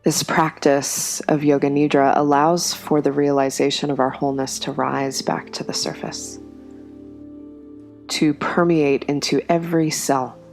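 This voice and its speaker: A woman guiding a yoga nidra meditation in a slow, soft, soothing way, with long pauses between phrases. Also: deep voice, creaky. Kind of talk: guided meditation.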